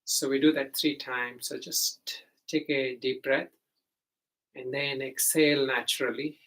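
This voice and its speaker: A man with a medium-pitched voice leads a guided meditation. He explains each step simply and gently, pausing and hesitating as he goes, in the manner of a teacher instructing students. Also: flat delivery, rough recording.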